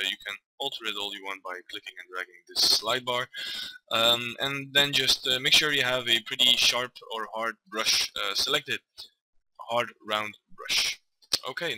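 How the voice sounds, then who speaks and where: A man from Belgium, hesitantly talking through a software tutorial with lots of fillers and self-corrections. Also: medium pitch, dry recording, poor audio. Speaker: tutorial maker. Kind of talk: casual screen-recorded software tutorial. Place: at a computer desk.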